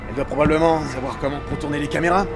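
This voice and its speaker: Male, actor as cynical crewmate for sci-fi cartoon dub; gravelly, slightly high, sarcastic, menacing accuser. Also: steady tone, very fast, music in the background, lightly acted. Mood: suspicious. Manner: sarcastic, scornful. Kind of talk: dramatic French dub of animated short film.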